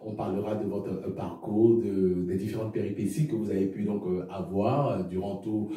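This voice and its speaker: A man's voice: fairly low pitch, steady tone, moderate pace, dark tone, heavy reverb, far from the mic. A man hosting a radio interview, speaking formally and hesitating often with fillers.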